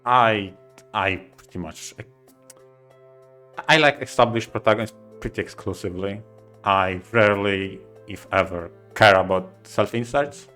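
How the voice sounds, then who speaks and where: A man in casual podcast chat as a co-host, speaking haltingly and often repeating words as he forms his thoughts. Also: on the low side, bright, forceful tone, faint music behind.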